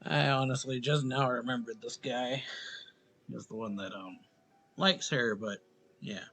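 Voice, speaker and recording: Male gaming streamer, casual rambling commentary, bright and gravelly, close mic. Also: medium pitch, a little slow, faint music behind. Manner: offhand, rambling. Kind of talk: casual let's play commentary.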